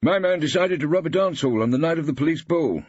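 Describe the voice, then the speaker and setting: A man's voice: fairly high pitch, lively intonation, fast, darkish tone, husky, no room sound, muffled recording, pitched above the performer's own voice. A man voicing a genial, sympathetic English barrister in an audiobook, chatty and emphatic. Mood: friendly, admiring.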